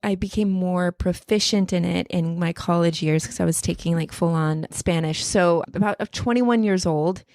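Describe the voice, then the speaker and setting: A woman's voice: lowish voice, moderate pace, few pauses, darkish tone, no echo, studio quality. A woman from the United States in her thirties, co-hosting a casual podcast with bubbly, giggly, playful teasing. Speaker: podcast co-host.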